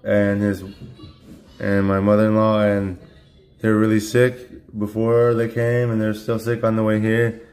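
A man's voice: lowish voice, monotone, unhurried, darkish tone. A man in his thirties who is losing his voice from illness, talking casually with many fillers and restarts while he opens cards at home. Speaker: card-opening channel host. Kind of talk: casual unboxing talk. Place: home room at a table.

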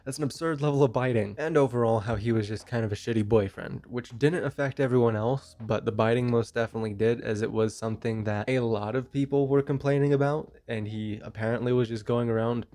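A man's voice: medium pitch, brisk, few pauses, a little mellow, dry recording. Blunt young man, nasal with a cold. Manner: blunt, casual and opinionated. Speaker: commentary YouTuber. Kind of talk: drama commentary. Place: small bedroom indoors. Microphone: handheld microphone.

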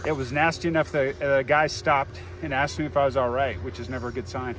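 A man's voice: medium pitch, level tone, quick pace, fairly bright tone, faint music behind. A middle-aged man, the dad in a family ski vlog, telling a rambling story with fillers outdoors on a ski slope, filmed on a phone. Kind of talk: casual chat.

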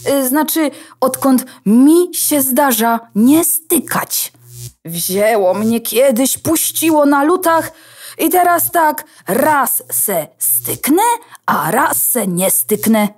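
Husky, swooping cheeky computer contact. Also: female voice, sits high, lively intonation, takes it slowly, a little mellow, exaggerated voice. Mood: breezy. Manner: slangy, breezy, theatrical. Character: cheeky, romantic loose computer contact, risk-loving. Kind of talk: children's audio drama acting.

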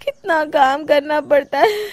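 A woman's voice: sits high, measured pace, no room sound, clean studio sound. A woman voicing a lazy young daughter-in-law in a cartoon, cheeky, whining and complaining. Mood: whining protest. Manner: whiny, complaining.

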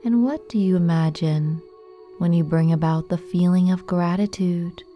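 A woman leading a guided meditation in a gentle, soothing, unhurried manner with long pauses. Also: on the low side, fairly even intonation, soft background music.

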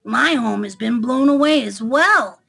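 A woman's voice: on the high side, animated, measured pace, creaky, sharp tone, exaggerated voice. A woman voicing a small young hedgehog in a children's storybook, kind, earnest and a little anxious, with a childlike manner. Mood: eager, friendly.

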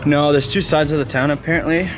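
A man hosting a casual travel vlog outdoors, relaxed and chatty as he explains the sights. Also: fairly high pitch, fast talker, low-quality recording. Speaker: travel vlogger. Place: outdoors in a small town. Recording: music playing under the voice.

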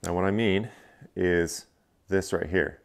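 A man's voice: low-pitched, fairly even intonation, slow, gravelly. A man in his thirties casually reviewing gear in a living room, explaining step by step with frequent fillers. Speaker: fishing channel host. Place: living room, indoors, seated on a couch.

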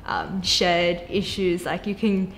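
Young woman, seated panel chat, lowish voice, faint music under, hesitant filler-heavy delivery. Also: little pitch movement, takes it slowly, low-quality recording. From Australia. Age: young adult. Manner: thoughtful, uses many fillers like "like". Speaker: panel guest. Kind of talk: casual group conversation. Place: bright studio with plants, indoors.

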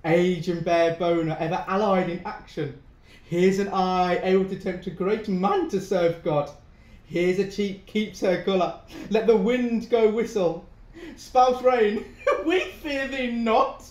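A high-voiced, mocking avenger played by a young man speaks old dramatic verse slowly, savouring his revenge. The room rings around his theatrical gloating. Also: a little mellow. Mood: manic glee. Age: young adult. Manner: theatrical, gloating verse delivery with gleeful laughter. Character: gleefully vengeful brother, mocking, brandishing a poisoned skull. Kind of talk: Jacobean audio drama acting. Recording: roomy, echoing home recording.

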